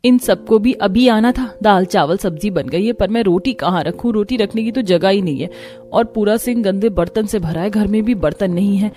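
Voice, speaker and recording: A woman's voice: mid-range voice, normal speed, dark tone, lightly acted. A woman playing a harried, grumbling poor elder daughter-in-law in a cartoon family story. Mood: overwhelmed. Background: background music.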